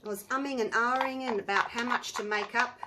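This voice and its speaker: Croaky-voiced woman, casual tutorial host, chatty and rambling. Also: fairly high pitch, fairly even intonation, a little fast, heavy background noise. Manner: chatty, rambling, talks to viewers while working. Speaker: resin craft channel host.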